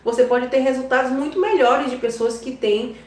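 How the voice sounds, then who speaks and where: A woman's voice: high-pitched, fairly even intonation, normal speed, darkish tone, recorded from a distance. Chatty Bahian woman in an echoey room. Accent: Bahian Portuguese. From Brazil. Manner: chatty, keeps adding "tá?" to check in with viewers. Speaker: online business vlogger. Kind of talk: casual advice talk to viewers.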